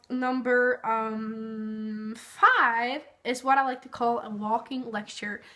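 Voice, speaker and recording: A woman's voice: sits high, a bit bright. A young woman from Norway, a native Norwegian speaker, giving casual tips in a chatty vlog full of fillers. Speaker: vlogger.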